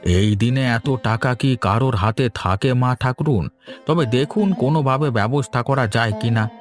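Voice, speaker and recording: A man voicing a grave but kindly village doctor in a cartoon, with solemn, deliberate delivery. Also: medium pitch, soft background music, lightly acted. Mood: urgent. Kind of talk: Bengali moral-tale cartoon dubbing.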